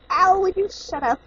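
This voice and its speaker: A woman's voice: very high voice, heavily acted. A woman voicing a goofy, deformed boy plushie in a home video, giggling and hamming it up, recorded on a home camcorder with dull, lo-fi audio. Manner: giggling, playful and hammy. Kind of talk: home video play-acting.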